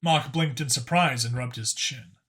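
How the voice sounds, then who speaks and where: A male audiobook narrator reads scripted fiction. His pitch is average for a man, and his tone is bright and forward. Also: moderate pace.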